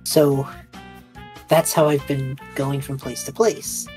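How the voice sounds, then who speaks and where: A woman playing a tabletop roleplaying game, speaking casually and haltingly in character. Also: a bass voice, level tone, darkish tone, soft background music, poor audio.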